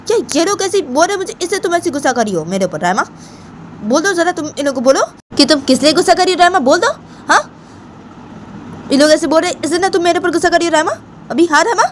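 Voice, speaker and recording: A woman voicing a defiant young woman who protests in a very high, whiny voice, over traffic noise. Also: lively intonation, fast talker. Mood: indignant. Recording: noisy, traffic in background.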